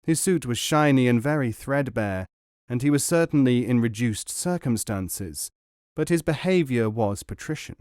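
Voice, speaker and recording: A man narrating a novel as an audiobook, reading in a measured, even way. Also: medium pitch, no room sound, studio quality.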